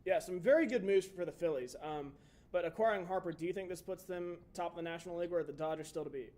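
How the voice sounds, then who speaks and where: Young male co-host, higher-pitched, easygoing and chatty, leads with questions. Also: fast. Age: 20s. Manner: relaxed, chatty, asks questions. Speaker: podcast co-host. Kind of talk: casual sports chat.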